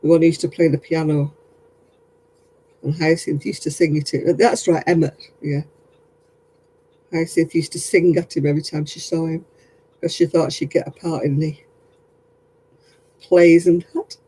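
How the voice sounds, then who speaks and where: A man's voice: a little high, little pitch movement, moderate pace, long pauses, mellow, husky, poor audio. A man chatting casually with viewers while crocheting on a livestream, self-mocking and laughing often. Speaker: craft livestream host.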